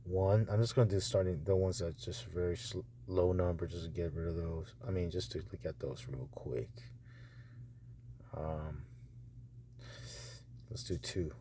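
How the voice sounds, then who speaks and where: A man with a low, mellow voice talks through what he is doing on screen. He is casual and hesitant, muttering as he thinks aloud. The phone recording has some background noise. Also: fairly even intonation, measured pace, long pauses. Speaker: audiobook publisher reviewing narrator auditions.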